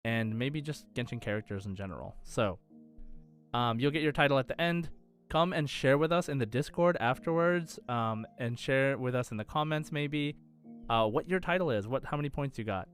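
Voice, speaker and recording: Casual male podcast host over faint music. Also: medium pitch. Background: faint background music.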